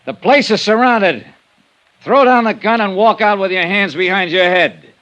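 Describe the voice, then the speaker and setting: Clipped hardboiled American investigator. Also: male voice, high-pitched, moderate pace, a bit bright, no echo. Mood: firm, commanding. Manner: clipped, matter-of-fact hardboiled narration. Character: tough, terse male insurance investigator. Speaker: lead actor and narrator of a detective serial. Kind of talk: old-time radio detective acting. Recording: vintage radio recording, faint music.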